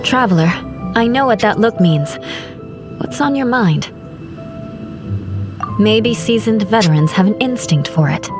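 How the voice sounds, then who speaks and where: A woman's voice: medium pitch, animated. A woman playing a cool, poised young knight in a video game, speaking formally and with composure. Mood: guarded, pensive. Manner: composed, formal, measured phrasing. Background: music.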